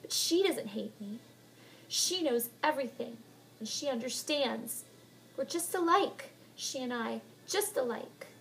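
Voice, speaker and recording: A woman's voice: high-pitched, animated, measured pace, gravelly, slight background noise, voice pushed up, full character voice, poor audio. A woman voicing a distraught young girl who pleads, sobs and shrieks. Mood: sobbing.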